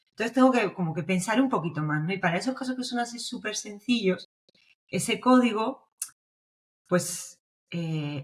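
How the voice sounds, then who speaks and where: A young woman from Spain with a Spanish accent, explaining her views as a guest in a casual podcast interview and often checking in with her listener. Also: medium pitch. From Navarre, Spain. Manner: explanatory, checks in with frequent tag questions. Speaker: guest, developer advocate.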